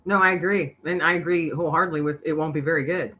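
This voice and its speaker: A woman's voice: deep voice, fast, poor audio. A middle-aged woman, a podcaster and author, chatting as a guest and telling stories animatedly with frequent laughter. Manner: animated storyteller, laughs often. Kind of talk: casual interview chat.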